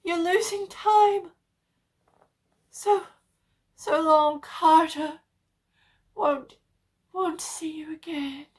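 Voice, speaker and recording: A woman voicing a doomed man in a horror story, urgent and despairing, shouting warnings over a telephone. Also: very high voice, steady tone, extremely slow, long pauses, pitched above the performer's own voice, full character voice. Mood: urgent, resigned. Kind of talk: dramatic horror story reading.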